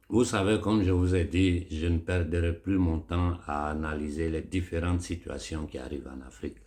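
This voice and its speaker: Male commentator with a cold-stuffed low voice, urgent and building in force. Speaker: political commentator. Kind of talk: impassioned monologue.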